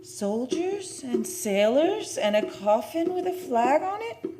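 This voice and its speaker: An actress playing a grieving, lovelorn woman in a vintage radio drama, dreamy and halting in her delivery. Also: high-pitched, big pitch swings, over music, heavily acted. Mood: dazed wonder. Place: theater stage, performed live for radio.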